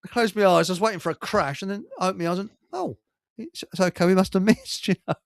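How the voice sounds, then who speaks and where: A man's voice: high-pitched, fast, gravelly, no echo, studio quality. An older man, chatting casually as a radio host and chuckling through rambling anecdotes. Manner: jovial, chuckles through his stories.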